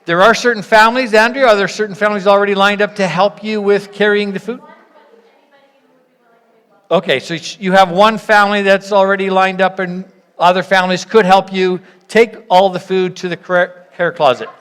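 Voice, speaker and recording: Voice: mid-range voice, steady tone, moderate pace, a bit bright, clean tone. A church leader addressing a congregation with instructions and reflection in an encouraging, invitational, explanatory way. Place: large reverberant room, church gathering. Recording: reverberant hall sound.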